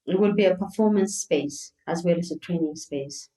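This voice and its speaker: A woman's voice: lowish voice, normal speed, dark tone, roomy sound, rough recording. A middle-aged woman from Ethiopia who runs a circus, answering interview questions earnestly and repeating words for emphasis. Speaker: circus founder and guest.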